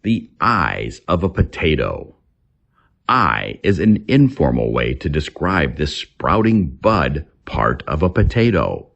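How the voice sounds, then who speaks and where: A man reading an English lesson aloud in a deliberate, instructional way, as a teacher does. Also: a bass voice, vocal fry, dull audio.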